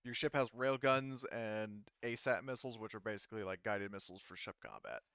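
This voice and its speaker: Game master, male, smooth clear voice, rambling and casual, muffled recording. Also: fairly low pitch, animated, fairly quick, fairly bright tone, no echo. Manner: casual, many fillers like "like" and "um". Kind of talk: explaining rules and lore in a tabletop game.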